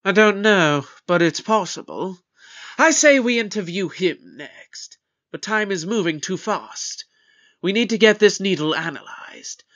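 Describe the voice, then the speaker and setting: Brisk, authoritative space detective in an audio drama. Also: mid-range voice, lively intonation, fairly bright tone. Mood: brisk, probing.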